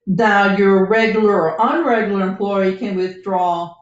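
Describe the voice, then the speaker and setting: A woman speaks as an advisor on a podcast, explaining things point by point with a halting delivery. Her voice is mid-pitched. It comes from some distance off the microphone in an echoey room. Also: fairly even intonation. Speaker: financial advisor guest on a podcast. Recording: roomy, distant, dull lo-fi microphone.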